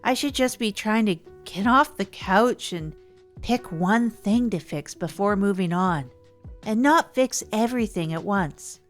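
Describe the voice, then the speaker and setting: Woman acting as awkward self-help radio host, rambles and repeats, flustered, middle-of-the-road pitch, comedy audio drama. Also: expressive, measured pace, faint music behind, natural voice. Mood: earnest, rambling. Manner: hesitant, repetitive, flustered. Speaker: podcast host.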